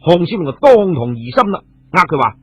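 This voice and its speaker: A man with a Cantonese accent narrating an adventure story in a theatrical, expressive way. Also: on the high side, a little fast, husky, no echo. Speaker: storyteller narrating an audio novel. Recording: tinny radio-like recording, music behind.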